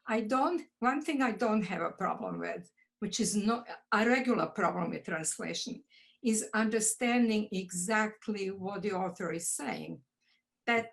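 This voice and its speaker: Slow careful older woman. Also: mid-range voice. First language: Croatian. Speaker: poet guest. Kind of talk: video call conversation. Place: home office.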